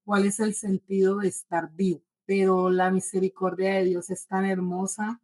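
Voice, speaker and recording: A woman's voice: mid-range voice, little pitch movement, moderate pace, a little mellow. Colombian woman in her forties, earnest testimony. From Bogotá, Colombia. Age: 40s. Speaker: testimony-giving guest. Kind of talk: religious personal testimony.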